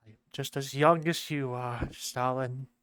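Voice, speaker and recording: A man voicing a pompous, grandiose communist leader in a put-on foreign accent that keeps changing, declaiming slowly. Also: medium pitch, fairly even intonation, fairly bright tone, smooth, no room sound, natural voice. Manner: grandiose, slow declaiming speeches. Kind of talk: amateur lore video skit.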